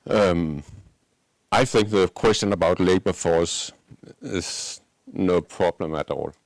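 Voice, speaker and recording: Danish male panelist, low gravelly voice, dry close sound, hesitant second-language delivery. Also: dry recording. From Denmark. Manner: hesitant, halting, searching for words. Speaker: panelist, agricultural policy advocate. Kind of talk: unscripted panel remarks.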